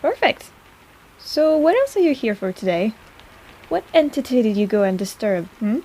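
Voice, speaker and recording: A woman's voice: fairly high pitch, sing-song, normal speed, mellow, slightly rough, poor audio. A woman playing a sarcastic but caring apothecary in an intimate personal-attention audio roleplay, with a playful, chiding manner. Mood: gentle, attentive.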